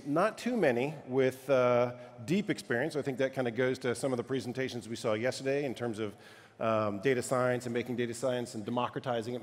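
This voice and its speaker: A man's voice: medium pitch, darkish tone. A middle-aged man hosting a conference keynote on a large stage, upbeat and chatty, with loose fillers. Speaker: keynote host. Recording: reverberant conference hall.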